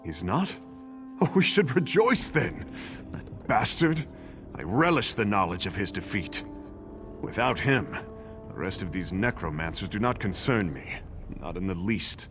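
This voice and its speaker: A man's voice: sits low, very animated. A man acting an earnest, noble leader in a video game, speaking formally and dramatically. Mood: alarmed, questioning. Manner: formal, dramatic, deliberate phrasing. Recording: game music behind the voice.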